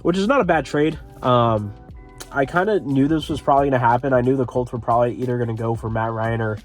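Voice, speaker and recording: Sniffly congested young dude rambling about football, mid pitch, um-ing a lot, some quiet music behind. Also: male voice. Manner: rambling, many fillers and restarts. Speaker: sports commentary channel host. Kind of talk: casual solo commentary.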